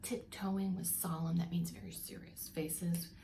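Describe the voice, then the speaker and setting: A woman preschool teacher reading a picture book aloud to young children, expressively, pausing to explain and ask questions. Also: fairly low pitch, flat delivery, vocal fry, dark tone, slight background noise.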